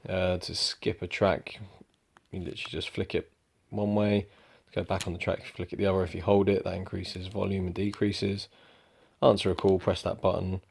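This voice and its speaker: A young man giving a relaxed, rambling product review at home, with lots of ums. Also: low voice, vocal fry. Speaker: motorcycle vlogger. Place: indoors at home.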